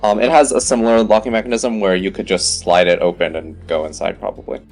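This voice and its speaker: A man's voice: on the low side, steady tone, fast. A man running a tabletop fantasy game as game master, describing scenes in a conversational way. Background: music.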